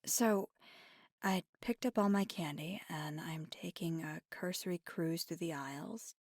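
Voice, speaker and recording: Woman acting as a flirty vampire gf asmr, soft and whispery, slow w lots of vocal fry, playful n affectionate. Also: lowish voice, no room sound, studio quality. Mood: chatty, flirty.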